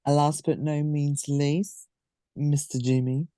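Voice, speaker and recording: Woman, low pitch, mellow tone, close dry sound, casual interviewer. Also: level tone, dry recording. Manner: rambling, halting questions. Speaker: podcast host.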